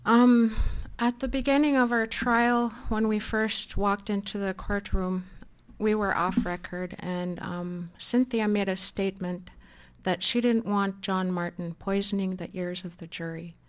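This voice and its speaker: A soft-spoken woman giving a nervous, halting closing statement in a courtroom, speaking through a courtroom microphone. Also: medium pitch, steady tone, highs cut off. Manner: hesitant, halting with fillers and restarts. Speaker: defendant giving closing argument.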